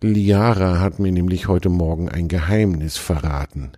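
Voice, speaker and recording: A man voicing a gentle, thoughtful male fox who talks softly and hesitantly. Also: low-pitched, steady tone, unhurried, no echo, clean studio sound. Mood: regretful. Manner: soft, hesitant, musing.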